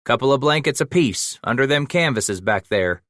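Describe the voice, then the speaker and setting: A man's voice: mid-range voice, expressive, normal speed, fairly bright tone, no echo, studio quality, voice pushed up, lightly acted. A man voicing a crude, coarse frontiersman who talks in swaggering, drawling slang.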